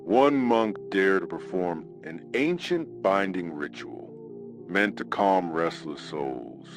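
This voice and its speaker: A man hosting a scripted horror radio show, telling a ghost story in a dramatic, ominous way with weighted pauses. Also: deep voice, expressive, over music.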